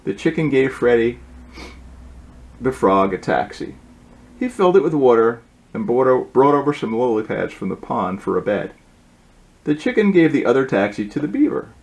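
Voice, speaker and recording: A man's voice: medium pitch, big pitch swings, moderate pace, pauses often, a little mellow, low-quality recording. Man reading a storybook aloud. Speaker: author reading own book aloud. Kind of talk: storybook read-aloud with asides.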